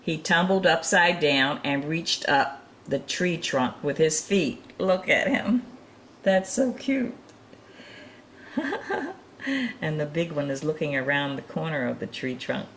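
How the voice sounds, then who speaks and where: A woman's voice: low-pitched, slow, fairly bright tone, a little hiss or hum. A woman reading a picture book aloud to young children, with chatty, encouraging asides and chuckles over the pictures. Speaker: children's storytime reader.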